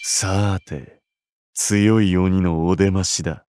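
A man voicing an imposing, earnest warrior in an anime game, solemn and deliberate. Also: low voice, fairly even intonation, darkish tone, no room sound, clean studio sound. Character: imposing, earnest, slightly puzzled male polearm warrior.

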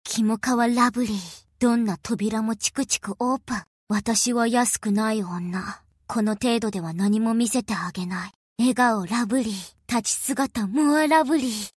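A woman voicing an aloof, quirky young idol girl with deadpan, clipped phrasing, in anime-style prompts. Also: on the high side, measured pace, hardly any pauses, breathy edge, no echo, clean studio sound. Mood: flat, cool.

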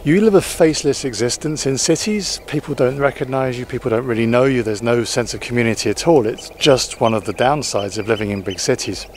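A man's voice: mid-range voice, a little fast, hardly any pauses, slight background noise. Reflective man vlogging outdoors at a marina. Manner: relaxed, reflective, with frequent fillers and restarts. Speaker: sailing vlogger. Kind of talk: casual talk to camera. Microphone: camera-mounted mic, handheld selfie framing.

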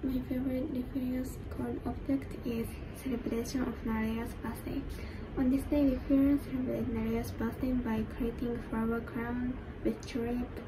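A fifteen-year-old girl from Japan, presenting a school project in a hesitant, soft-spoken way. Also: fairly high pitch, little pitch movement, normal speed, background noise. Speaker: student presenter. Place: indoor room.

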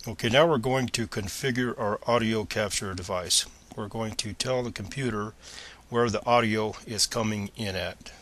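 A man with a fairly low voice and a bright, forward edge gives step-by-step computer instructions. He is plain and methodical, speaking as a screencast presenter. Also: moderate pace. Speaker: tutorial presenter.

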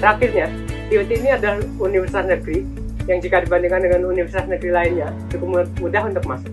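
A female student from Indonesia reads a voice-over at a very fast clip. Her voice sits slightly low. Clear music plays behind her. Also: fairly even intonation. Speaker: student narrator. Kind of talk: scripted voice-over.

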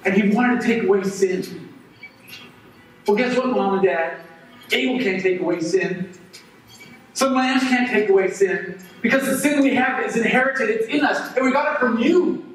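A man's voice: high-pitched, normal speed, long pauses, natural voice. A man playing bitter, jealous Cain. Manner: impassioned, swings from brooding to ranting. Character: resentful young male farmer, Cain, jealous and bitter. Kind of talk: first-person dramatic monologue. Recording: very echoey hall, voice from far off, faint noise.

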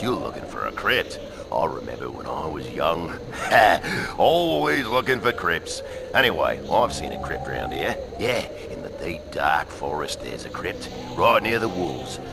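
A man's voice: rumbling low, sing-song, unhurried, vocal fry, noisy background, heavily acted. An actor playing a jovial, boastful rugged outdoorsman in a video game, talking in a matey, colloquial way. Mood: wheedling, boastful. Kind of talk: animated video game acting.

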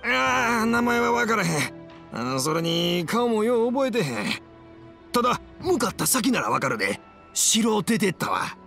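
An excitable, flustered old father with a Kansai accent in an anime game. His voice is gravelly and swings widely, with soft music behind it. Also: high-pitched, a little slow, heavily acted. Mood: regretful, flustered. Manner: excitable, colloquial. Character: flustered elderly father, fireworks maker.

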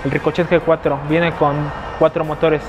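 A young man with a Mexican accent, hosting a street prank video and asking absurd questions in a deadpan, mock-pleading way on an action camera. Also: a little high, moderate pace. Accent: Mexican Spanish. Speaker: prankster host. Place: streets, shops and a car lot, mostly outdoors. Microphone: handheld action camera. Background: background music.

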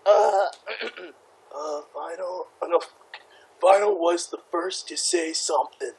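A man reads aloud in a casual amateur style, with a slightly high voice full of vocal fry. He goes slowly and haltingly, stumbling over words and breaking into informal banter. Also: a little mellow. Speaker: participant in a group fanfic reading.

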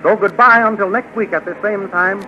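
A man's voice: sits high, fairly even intonation, normal speed, over music. A man with an American accent, reading scripted narration as a 1930s radio announcer in a declamatory, promotional way. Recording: dull 1930s radio recording.

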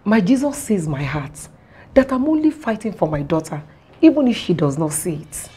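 Mellow, expressive mother played by an actress. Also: mid-range voice, moderate pace, soft background music, natural voice. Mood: defiant. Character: defiant scheming mother of a grown daughter. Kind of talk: screen drama acting.

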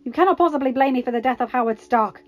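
A woman's voice: a little high, lively intonation, normal speed. A woman voicing a menacing, defiant Russian male villain who spits out words. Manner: hissing, spitting out words.

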